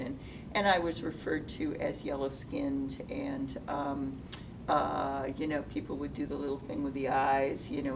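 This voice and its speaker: A woman in her seventies, Japanese as her first language, telling her life story thoughtfully, often restarting her sentences. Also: creaky. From United States (Seattle). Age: 70s. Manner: thoughtful, halting, with frequent restarts. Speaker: interviewee, retired nonprofit CEO. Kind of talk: reflective personal storytelling. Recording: distant mic with low rumbling noise.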